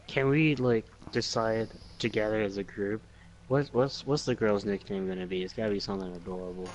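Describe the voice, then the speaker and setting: A man's voice: fairly low pitch, steady tone, fairly quick, poor audio. A man chatting casually as a player in a tabletop game, joking in a blunt, sweary way. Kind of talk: casual chat. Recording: faint background noise.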